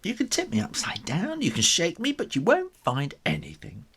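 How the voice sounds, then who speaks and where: Man doing a high-pitched plucky kitten hero. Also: wildly swooping pitch, normal speed, fairly bright tone, slight background noise, heavily acted. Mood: cheeky, calm. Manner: theatrical, cheekily defiant. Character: plucky young male kitten turned superhero.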